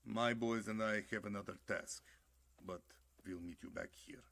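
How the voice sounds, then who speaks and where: A smug, gravelly Soviet officer. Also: male voice, on the low side, a bit bright. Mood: smug, cold. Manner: slow, smug and coldly cynical. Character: cold, scheming Soviet male officer. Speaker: game cutscene character.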